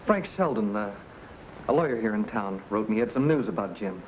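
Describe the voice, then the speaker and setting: A man's voice: on the low side, lively intonation, brisk, dull audio, natural voice. Man acting a terse young western man, noisy recording. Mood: protesting, earnest. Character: young outlaw and his twin brother, hard and insistent. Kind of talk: old-time radio western acting. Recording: noisy old radio recording.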